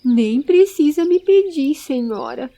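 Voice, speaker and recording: Uma mulher interpretando um pequeno ser jovem da floresta, medroso, ansioso e exclamativo, numa história em áudio. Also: female voice, high-pitched, lively intonation, slow, warm tone, voice pushed up. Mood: assustado. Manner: exclamatory, anxious, eager. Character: small excitable, fearful young male forest creature.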